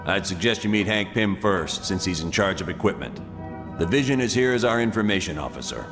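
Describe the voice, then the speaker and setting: A man's voice: sits low, expressive, a little fast. A man playing a serious, matter-of-fact colonel who leads a team in a video game cutscene. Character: male spy-agency colonel, gruff, serious team leader. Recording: long reverb, music behind.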